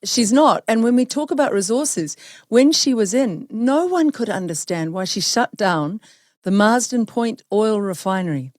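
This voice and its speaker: A woman's voice: fairly high pitch, lively intonation, measured pace, darkish tone, no room sound, clean studio sound. A woman from New hosting an interview, speaking emphatically and indignantly. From New Zealand. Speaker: host. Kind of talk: opinionated interview talk.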